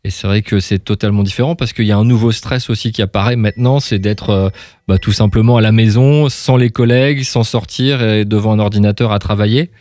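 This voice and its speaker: A man's voice: on the low side, fairly even intonation, brisk, a little mellow, no room sound. Rambling male radio interviewer, very dry sound. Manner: rambling, repeats and rephrases himself. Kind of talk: casual radio interview chat.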